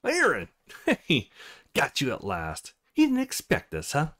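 Man doing a teasing young woman, game character, flustered, hugely varied pitch. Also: huge pitch swings, slow pace, fairly bright tone, full character voice. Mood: playful.

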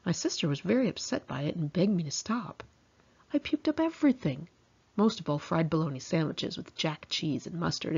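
A woman's voice: on the low side, dark tone, breathy edge, dull audio. An American woman reading her own memoir in a conversational, candid and wry, self-mocking way. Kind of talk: scripted audiobook narration, conversational.

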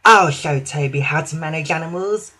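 Storybook: man doing a haughty, lazy lady engine, high falsetto, breathy edge. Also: moderate pace, bright, forceful tone. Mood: smug. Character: vain, fussy female diesel railcar, proud and lazy.

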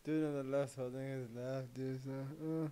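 A man's voice: mid-range voice, steady tone, normal speed. A young man from Saudi Arabia chatting casually on a livestream in a slangy, profane way. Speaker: livestreamer reacting to short videos. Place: small curtained room indoors. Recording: music playing behind.

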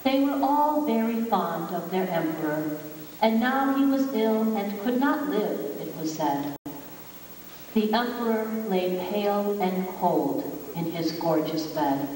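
A woman narrating a scripted fairy tale in a measured, dramatic storytelling manner. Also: medium pitch, animated, darkish tone. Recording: very echoey hall, distant, faint noise.

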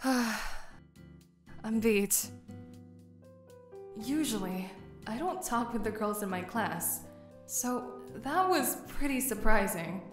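A woman's voice: on the high side, expressive, slow, long pauses. A young woman acting an earnest tomboyish teenage girl in a manga fandub, with inner monologue. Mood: weary, reflective. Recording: roomy voice with music behind.

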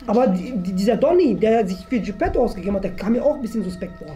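A woman's voice: medium pitch, lively intonation, rapid-fire, dark tone. A young woman improvising a police interrogation in a stern, questioning manner. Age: young adult. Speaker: host playing a police inspector in a game. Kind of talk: improvised role-play interrogation. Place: small furnished room indoors. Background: music.

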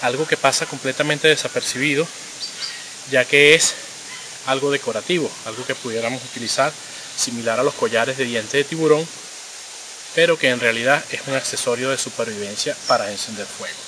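A man in his thirties hosting a survival gear vlog, explaining a product outdoors in a forest in a clear, explanatory tone. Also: medium pitch, a little fast, noisy background. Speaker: survival gear channel host. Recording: music playing under the voice. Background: music.

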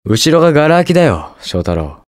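A man's voice: fairly high pitch, a little fast, no room sound, clean studio sound. A young man playing a cool, inquisitive detective superhero in a video game, with precise, teasing delivery. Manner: calm, precise, smug and curious delivery. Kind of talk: Japanese video game voice acting, short lines.

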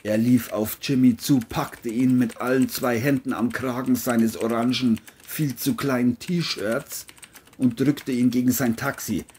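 A man's voice: mid-range voice, steady tone, a little slow, a little mellow, a little hiss or hum. A man reading scripted narration for an audio crime story, as its narrator.

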